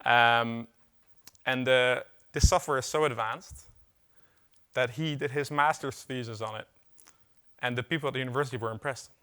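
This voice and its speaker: Young dutch guy doing a tech talk, bright tone, casual, lots of uhs and dry jokes. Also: medium pitch, fairly even intonation, moderate pace, long pauses, clean tone. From Netherlands. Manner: casual, filler-heavy, wry asides. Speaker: conference speaker. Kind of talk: technical conference talk. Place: conference hall.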